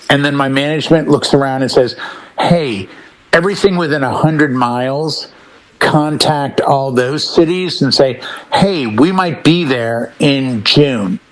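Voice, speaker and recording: A man co-hosting a casual panel chat, talking in an animated storytelling way. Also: on the high side, poor audio. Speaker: podcast co-host.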